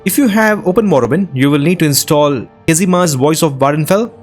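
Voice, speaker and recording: A man's voice: a little high, animated, fairly quick. A man hosting gameplay commentary on game mods in an enthusiastic, appreciative way. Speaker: host of a game modding news show. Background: prominent game music.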